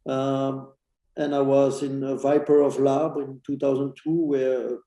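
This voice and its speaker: A middle-aged man with a Belgian accent giving reflective interview answers, haltingly and with restarted phrases. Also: mid-range voice, little pitch movement, warm tone. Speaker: interview guest, former racing driver and team boss. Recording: roomy echo.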